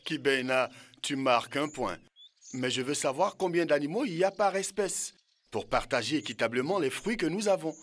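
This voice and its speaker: A man playing a kindly, expressive old villager in an educational cartoon. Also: fairly high pitch, moderate pace. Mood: thoughtful, kindly. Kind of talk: animated educational cartoon dialogue.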